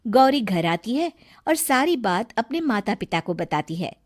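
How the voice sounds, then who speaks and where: A woman's voice: fairly high pitch, sing-song, unhurried. A woman narrating a scripted moral story for a cartoon, as a storyteller.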